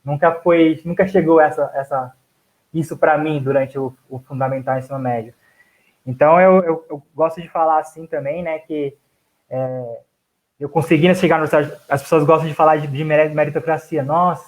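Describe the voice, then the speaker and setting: Thirties man, fairly high voice, loose hesitant storytelling, debate panelist. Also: poor audio. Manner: hesitant, many restarts and fillers. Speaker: physics PhD student and council candidate. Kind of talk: casual spoken life story in a debate.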